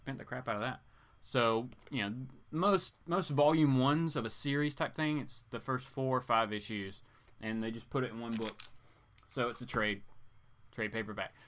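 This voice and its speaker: Male live stream host, medium pitch, loose chatty delivery, informal and playful, drifting from exclamations to mutters. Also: a bit bright. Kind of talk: casual rambling chat, reading viewer comments.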